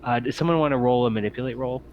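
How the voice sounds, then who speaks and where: A man's voice: medium pitch, rapid-fire. A man acting as game master, narrating a tabletop roleplaying game in a casual, hesitant way. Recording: muffled lo-fi mic with faint noise.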